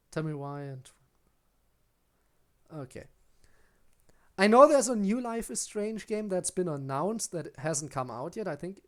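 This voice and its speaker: A German man with a German accent, chatting casually with viewers during a live game stream, rambling and often restarting his sentences. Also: fairly high pitch. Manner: rambling, with frequent restarts while answering chat. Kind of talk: casual live-stream chat while gaming.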